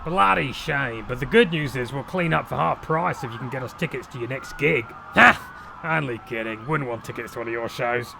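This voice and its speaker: A man's voice: medium pitch, normal speed, creaky, pushed and bright, slight background noise. A British man playing a middle-aged, veteran cosmic monster hunter in a comedy cartoon, blunt, bossy and sweary, bragging casually as if to a documentary camera. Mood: matter-of-fact. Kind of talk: mockumentary comedy voice acting, talking to camera.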